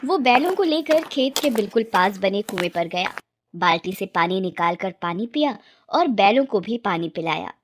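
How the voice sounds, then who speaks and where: A woman's voice: medium pitch, expressive, normal speed. A woman narrating a scripted moral story as a storyteller.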